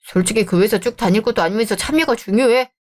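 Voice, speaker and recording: A woman's voice: on the high side, fast talker, lightly acted. A woman voicing a stubborn, defensive young man who brushes off his fiancée's complaints in a radio drama. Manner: defensive, dismissive.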